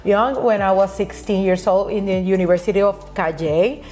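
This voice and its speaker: Puerto Rican woman, halting and laughing. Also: medium pitch, fairly even intonation, a little mellow. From Puerto Rico. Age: middle-aged. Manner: halting, frequent restarts, laughs often. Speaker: guest, nail educator. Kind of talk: casual interview chat.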